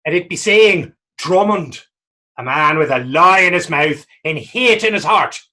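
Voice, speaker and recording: An actor playing a bitter, hot-blooded republican in a stage drama, emphatic and indignant. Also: male voice, fairly high pitch, expressive, measured pace, sharp tone. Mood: bitter, sardonic. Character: hot-blooded, bitter, one-eyed male Irish republican. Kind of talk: stage readers theater drama.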